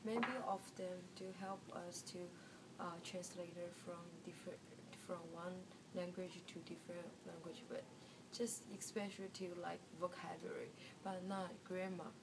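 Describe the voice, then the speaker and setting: A young woman from China with a Chinese accent, giving a class seminar presentation in a halting way with frequent restarts. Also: on the low side, steady tone, creaky. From Guiyang, Guizhou, China. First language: Mandarin Chinese. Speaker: student presenter. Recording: distant mic with room noise.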